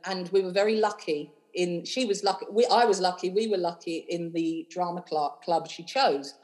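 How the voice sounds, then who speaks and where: A woman's voice: on the low side, darkish tone, low-quality recording. A woman in her thirties with a London accent, chatting effusively as an interview guest, rambling with many fillers. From London, England. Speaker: cabaret host and singer, guest. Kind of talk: casual interview chat.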